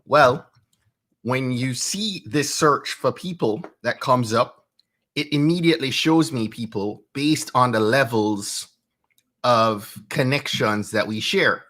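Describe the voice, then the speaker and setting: A Jamaican man in his thirties talks through tips on a live stream, his voice bright and forward. He keeps the tone chatty and often tags his points with "right?". He is close on a studio mic in a home studio. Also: mid-range voice, takes it slowly. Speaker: host. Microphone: studio microphone with headphones.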